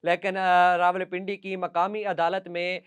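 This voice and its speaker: A man's voice: high-pitched, monotone, unhurried, smooth. A man with a Pakistani accent presenting news commentary straight to viewers, with emphatic, repetitive listing.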